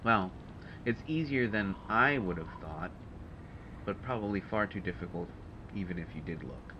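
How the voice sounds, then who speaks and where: A man's voice: low voice, animated, moderate pace. A man playing a testy, sardonic supervillain genius in an audio roleplay, speaking in a dry, haughty, hesitant way with exasperation. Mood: smug, focused. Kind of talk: intimate audio roleplay monologue to a listener. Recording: dull, noisy home recording.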